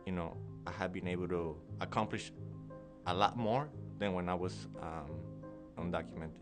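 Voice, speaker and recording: A man in his twenties speaks slowly and deliberately in a very low voice. He gives his account in a documentary interview, with music playing clearly under him. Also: fairly even intonation. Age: 20s. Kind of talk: documentary testimony.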